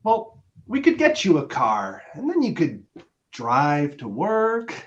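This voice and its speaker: A man acts an affectionate boyfriend who gently presses his case in a play reading. His pitch is very lively, his delivery conversational and hesitant, and the audio is a bit muffled and lo-fi. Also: takes it slowly, full character voice. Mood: pleading, teasing. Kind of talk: naturalistic dramatic script reading.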